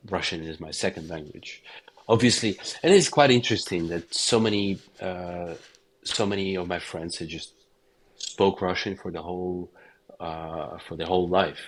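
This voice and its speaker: A man with a Ukrainian accent talks through his answers haltingly, circling back and repeating words before finishing a thought. His voice sits very low. It has a husky, breathy grain. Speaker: documentary director, interview guest. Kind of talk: casual interview answers.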